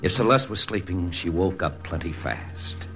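An actor playing a hard-boiled army lieutenant, narrating in a clipped first-person style for a 1940s radio drama. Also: male voice, deep voice, expressive, moderate pace. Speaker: narrator and lead. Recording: old radio broadcast with music.

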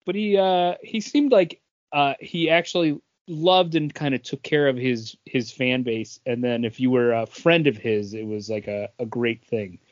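A man co-hosting a podcast in casual chat, telling things in a halting, conversational way. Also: medium pitch, no echo. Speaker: podcast co-host. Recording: dull lo-fi audio.

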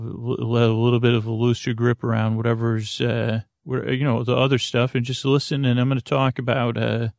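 A man hosting a sleep podcast in a creaky voice, rambling through a soothing bedtime monologue in a meandering, halting, stammering way. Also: mid-range voice, dry recording, clean studio sound. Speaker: podcast host.

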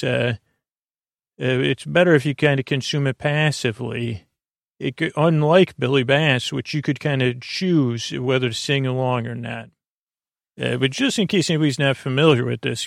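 An American man hosting a sleep podcast, delivering a halting, meandering bedtime monologue that drifts off on tangents. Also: medium pitch, gravelly, no room sound, studio quality. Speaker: podcast host. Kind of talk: rambling bedtime monologue to help listeners fall asleep.

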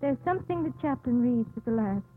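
A woman's voice: fairly high pitch, animated, brisk, slightly rough. An actress playing a bitter, fatalistic young woman prisoner awaiting death, brooding and dramatic in 1950s radio drama style. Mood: solemn, fatalistic. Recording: phone-like 1950s radio recording, music behind.

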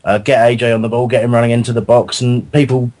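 Man, mid pitch, dry wit, thoughtful fan radio co-host. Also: little pitch movement, fast talker, darkish tone. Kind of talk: casual fan radio chat.

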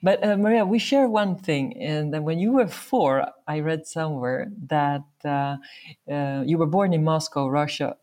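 A woman with a Swedish accent conducting a conversational interview, haltingly searching for her words. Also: low-pitched, dark tone. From born in Serbia, raised in Sweden.

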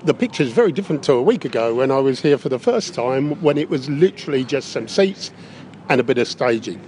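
A man's voice: mid-range voice, very animated, fairly quick, darkish tone. A man giving upbeat, enthusiastic, unscripted interview answers, recorded on location with some background noise. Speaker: interview guest. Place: inside a big top tent in a park.

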